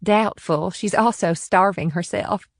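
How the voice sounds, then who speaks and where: A woman's voice: mid-range voice, unhurried, dry recording, clean studio sound, close to an everyday voice. A woman playing a breezy, arch, somewhat defensive wealthy mother in an audiobook drama.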